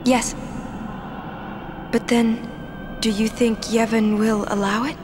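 Earnest young heroine, slightly high, gentle, formal, hesitant, game cutscene, music underneath. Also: female voice, flat delivery, takes it slowly. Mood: earnest, resolute. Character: gentle, earnest, dutiful young woman summoner. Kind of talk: video game voice acting.

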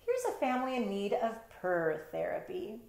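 A middle-aged woman reads a children's book aloud in a roomy bedroom. Her delivery is expressive and animated in pitch, breaking off for casual explanations to young listeners. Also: moderate pace, vocal fry. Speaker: storytime reader, teacher. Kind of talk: picture-book read-aloud with casual asides. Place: bedroom, indoors.

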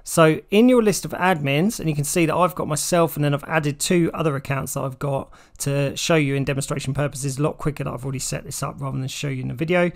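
Higher-voiced English man explaining tech. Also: quick pace, vocal fry, hardly any pauses. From United Kingdom. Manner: plain-spoken, step-by-step explaining. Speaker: tech tutorial presenter. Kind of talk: instructional walkthrough, informal explanation.

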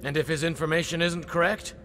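A man's voice: on the high side, flat delivery, brisk, a bit bright, soft background music, lightly acted. A man playing a dutiful but doubtful military lieutenant in a space-opera audio drama.

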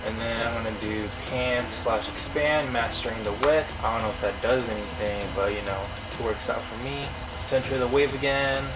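A young man with a bassy voice, talking through a home music tutorial in a relaxed, slangy, self-deprecating way, recorded in a small room at home. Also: moderate pace, hardly any pauses, poor audio. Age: young adult. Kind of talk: casual screen tutorial.

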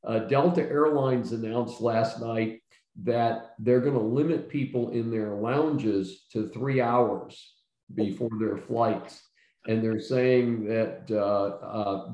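A man's voice: lowish voice, a little mellow, reverberant, poor audio. An older man hosting a casual panel discussion over a video call from his home study, rambling with many fillers and restarts. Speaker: host. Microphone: video call webcam.

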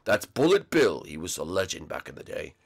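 A man voicing a gruff middle-aged dragon-turtle king who is a strict father, in a lowered, stern voice. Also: sing-song, fairly quick, full character voice. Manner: stern, patient, corrects his son.

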